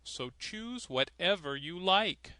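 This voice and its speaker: A man voicing a little dwarf in a fairy tale, granting wishes in a measured, benevolent way. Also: sits high, expressive, pushed and bright, smooth, no room sound, pitched above the performer's own voice, full character voice. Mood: kindly granting.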